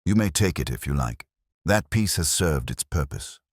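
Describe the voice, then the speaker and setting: A man voicing a poised, calculating woman schemer in a storybook reading, softly and deliberately. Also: rumbling low, animated, dry recording, clean studio sound. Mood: coolly poised. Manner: soft, composed, deliberate.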